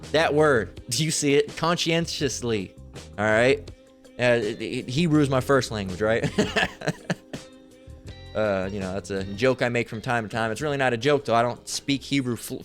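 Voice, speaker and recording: Guy reading verses and riffing on them, cursing a lot, tripping over big words, mid pitch, light music under it. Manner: loose and profane, stumbles over hard words; thinks aloud while searching online. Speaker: online religious commentator. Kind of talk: casual scripture reading and commentary.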